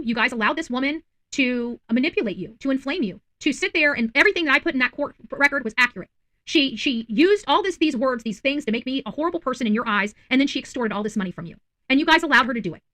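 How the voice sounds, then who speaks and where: A woman's voice: high-pitched, pushed and bright. A woman giving unscripted online commentary to viewers, emphatic and indignant, repeating phrases for stress. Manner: indignant, accusatory. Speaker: self-described independent journalist and online commentator.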